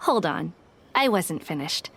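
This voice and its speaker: A woman playing a shrewd, composed detective in a game, speaking in a measured, articulate, knowing way. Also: on the low side, animated, gravelly, very noisy, exaggerated voice. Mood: businesslike. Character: shrewd young female detective, composed and businesslike. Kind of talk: game dialogue acting and tale-telling. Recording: music playing behind.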